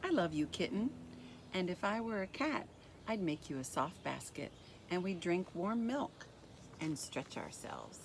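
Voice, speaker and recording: A woman reading a picture book aloud as a story time host, speaking deliberately and expressively to young children. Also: fairly low pitch, gravelly, a little hiss or hum. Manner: deliberate, expressive, addressing young listeners directly.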